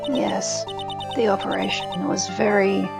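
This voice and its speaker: A woman voicing an eerie young mob heiress in a game fan dub, with a halting, deliberate delivery. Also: mid-range voice, flat delivery, creaky, loud music behind the voice. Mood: detached, halting.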